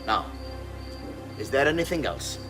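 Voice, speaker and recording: A commanding conspiracy leader in a game cutscene, measured and composed. His medium-pitched voice sits a little away from the mic, with music behind it. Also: sing-song, far from the mic. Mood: calm, commanding. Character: composed, commanding male conspirator leader giving orders to followers. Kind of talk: video game cutscene acting.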